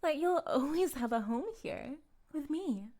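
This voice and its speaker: A woman playing a possessive, self-righteous cult leader in an intimate audio roleplay, cooing and condescending, sometimes breathy, speaking directly to the listener. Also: high-pitched, expressive, measured pace, mellow. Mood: rapturous, self-satisfied. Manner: theatrical, cooing, condescending, drifting into breathy whispers.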